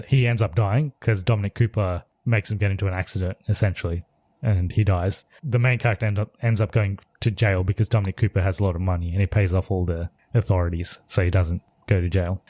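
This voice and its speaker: A man's voice: lowish voice, darkish tone, no echo. A man co-hosting a podcast, casually retelling a movie plot in a loose, rambling way with restarts. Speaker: podcast co-host. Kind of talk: casual chat reviewing movies.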